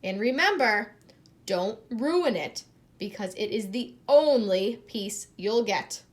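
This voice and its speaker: A woman's voice: mid-range voice, lively intonation, takes it slowly. A woman voicing a strict, bossy female first grade teacher in a storybook, giving firm classroom orders. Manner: firm, clipped classroom commands.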